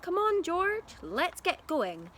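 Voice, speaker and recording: A woman voicing an excitable, bossy, impatient father in a children's storybook, full of urgent exclamations. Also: very high-pitched, slow, a little hiss or hum, voice pushed up, exaggerated voice. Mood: excited, urgent. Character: excitable impatient father, adult man, booming with enthusiasm.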